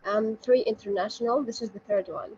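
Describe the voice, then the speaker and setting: A woman's voice: fairly high pitch, steady tone, a little fast, dark tone, lo-fi sound, slight background noise. A young woman from Iraq, a university lecturer, introducing herself hesitantly with frequent ums on a video call. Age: young adult.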